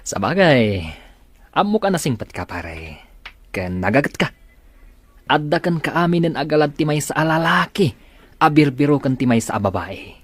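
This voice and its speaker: A man's voice: fairly high pitch, sing-song, normal speed, no room sound. A man acting a teasing, encouraging buddy in a radio-style drama. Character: teasing, encouraging male buddy of a young farmer.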